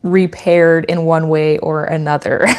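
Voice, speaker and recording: A Canadian woman with a slightly low voice chats casually with her livestream viewers. She explains things like a teacher and often restarts her sentences as she rambles along. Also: steady tone, slow pace, a little mellow. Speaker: goat farmer and channel host.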